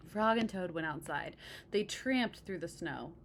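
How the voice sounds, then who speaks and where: A woman reading a picture book aloud expressively, pausing to explain things and check in with young listeners. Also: fairly low pitch, expressive, slight background noise. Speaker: read-aloud storyteller.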